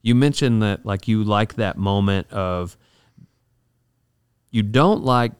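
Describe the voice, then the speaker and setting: A man's voice: fairly low pitch, takes it slowly, smooth, no echo, studio quality. A man hosting a podcast, asking questions in a casual, conversational style. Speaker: podcast host, interviewer.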